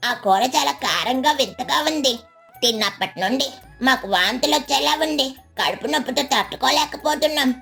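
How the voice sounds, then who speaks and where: Very high, squeaky little cartoon bird. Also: female voice, moderate pace, gravelly, a bit bright, breathy edge, full character voice. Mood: tearful pleading. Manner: pleading, emphatic, squeaky. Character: shrill, excitable parrot and little bird characters. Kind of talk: animated children's moral story voice acting. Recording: background music bed.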